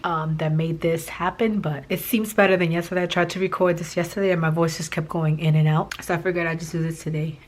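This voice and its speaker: A woman with a hoarse, raspy voice from a recently lost voice, chatting casually and rambling a little while she plans, with many fillers and restarts. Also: sits low. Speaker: planning vlogger. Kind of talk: casual chat while planning.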